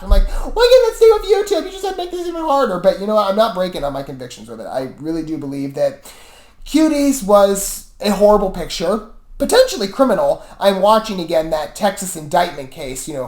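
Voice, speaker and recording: A woman's voice: on the low side, darkish tone. A woman hosting a reaction video, chatting casually to viewers in a rambling, self-correcting way. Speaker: reaction video host and reviewer. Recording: roomy, echoey room sound.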